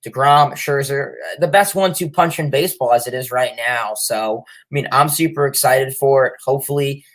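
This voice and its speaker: A young man hosting a sports livestream with a cold, sniffling as he reads viewer comments and rambles with lots of fillers and restarts. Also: mid-range voice. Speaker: livestream host. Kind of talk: casual sports chat reading viewer comments.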